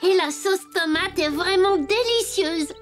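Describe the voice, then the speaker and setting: A woman's voice: very high-pitched, a little slow. A woman voicing a cheerful little wooden puppet boy in a children's cartoon dub, friendly and childlike. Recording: loud music behind the voice.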